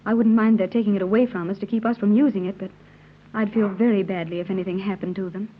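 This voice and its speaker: A woman playing a gentle, anxious, well-spoken mother in an old-time radio serial. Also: mid-range voice, fairly even intonation, fast talker, natural voice. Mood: gentle, concerned. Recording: muffled, noisy vintage radio recording with hum.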